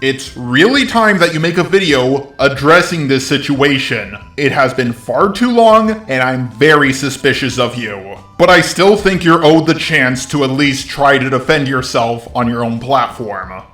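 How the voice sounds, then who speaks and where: A man's voice: mid-range voice, lively intonation, measured pace, a bit bright. A man delivering a scripted personal statement as a video creator. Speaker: video creator responding to accusations. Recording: roomy echo with background music. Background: music.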